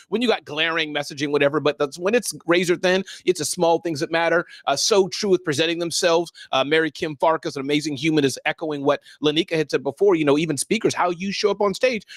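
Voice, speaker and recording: A man hosting a live online business show, energetically shouting out viewers and guests by name. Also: high voice, no room sound, clean studio sound. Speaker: host.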